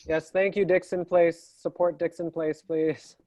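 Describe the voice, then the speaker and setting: A man's voice: on the high side, little pitch movement, a little slow, mellow, dry recording. A man casually moderating a question session and reading out audience questions on a video call.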